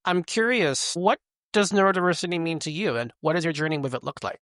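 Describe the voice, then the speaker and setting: A man's voice: a little high, animated, fast, fairly bright tone, dry recording, clean studio sound. A man hosting a podcast, upbeat and curious as he asks his guest questions. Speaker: podcast host. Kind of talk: podcast hosting, scripted intro then casual chat.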